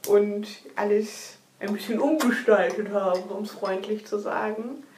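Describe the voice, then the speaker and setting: A woman's voice: medium pitch, fairly even intonation, unhurried, darkish tone, recorded from a distance. A woman recapping a TV episode in casual chat, analytical and arguing her points at length. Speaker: podcast co-host. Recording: echoey room, a little off mic, faint noise.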